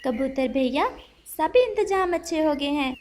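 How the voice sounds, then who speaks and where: Woman voicing a high-pitched, kindly little sparrow. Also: lively intonation, measured pace, darkish tone, a little hiss or hum. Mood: friendly. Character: kind, polite little female sparrow, caring friend. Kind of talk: Hindi children's cartoon voice acting.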